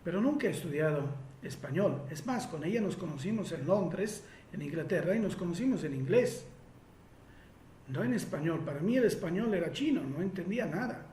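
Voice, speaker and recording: A man's voice: high-pitched, expressive, moderate pace, dark tone, echoey room, a little hiss or hum. A man from Italy speaking Spanish with an Italian accent, answering listener questions in a reflective way with frequent fillers and restarts.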